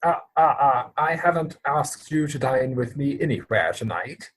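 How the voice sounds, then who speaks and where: A man playing a proper, earnest young English gentleman, evasive and easily exasperated, speaking formally in a dramatic reading of a Victorian stage comedy. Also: mid-range voice, animated, moderate pace, natural voice. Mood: indignant. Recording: home recording over video call.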